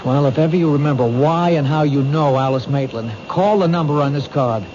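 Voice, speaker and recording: An actor playing an earnest, friendly police detective, plain-spoken and persistent, in a radio drama. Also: male voice, fairly high pitch, brisk, natural voice. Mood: probing. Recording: muffled, noisy old radio recording.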